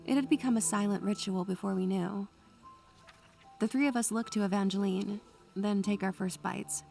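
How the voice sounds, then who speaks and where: A woman's voice: medium pitch, steady tone, moderate pace, creaky, warm tone, soft background music, lightly acted. A woman playing a bookish, anxious young student who narrates her memories in a literary, atmospheric style. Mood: brooding, wistful. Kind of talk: atmospheric first-person scripted narration.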